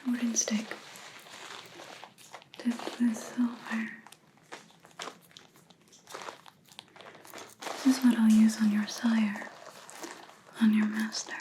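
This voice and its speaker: A woman playing a menacing, scornful vampire hunter in an ASMR roleplay, whispering slowly and softly, close to the microphone, as she questions a captive. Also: fairly high pitch, flat delivery, a little hiss or hum, low-quality recording. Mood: threatening. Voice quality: soft, breathy whisper. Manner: slow, deliberate, mocking questioning.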